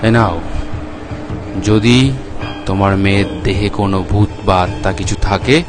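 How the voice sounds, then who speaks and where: A man's voice: fairly low pitch, fairly even intonation, moderate pace, close to an everyday voice. A man voicing a solemn, commanding village holy man and exorcist in a cartoon story, grave and portentous. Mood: ominous. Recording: music under the voice.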